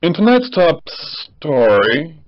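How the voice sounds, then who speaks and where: Casting: bumbling, formal TV anchor for a cartoon , male actor, lively intonation, dry muffled sound. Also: a little high, takes it slowly, dry recording. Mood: formal announcing. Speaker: news anchor. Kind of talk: news anchor delivery. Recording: dull, band-limited TV audio.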